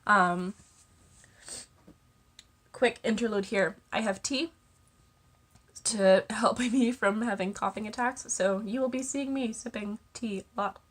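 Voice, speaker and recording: A woman's voice: medium pitch, slow, long pauses. A young woman vlogging to camera, congested with a cold and chatting casually with lots of ums and small laughs. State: congested, stuffy-nosed. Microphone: camera mic.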